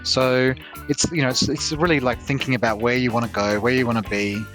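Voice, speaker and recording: A man from England with an accent mixing English, Australian and American sounds, speaking in a relaxed and upbeat way as a tourism guest. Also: medium pitch, brisk, soft background music. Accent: mixed English, Australian and American. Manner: relaxed, upbeat, some hesitation.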